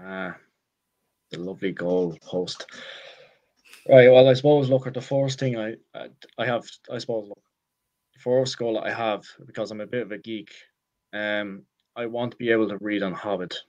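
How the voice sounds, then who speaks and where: A young Irish man in his twenties with a lowish voice. He chats as an interview guest, circling his point with restarts and fillers like "look" and "I suppose", and pokes fun at himself. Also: moderate pace, pauses often, a little mellow, muffled recording. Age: 20s. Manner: rambling, self-deprecating, frequent restarts and fillers like "look" and "I suppose". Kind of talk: casual interview chat.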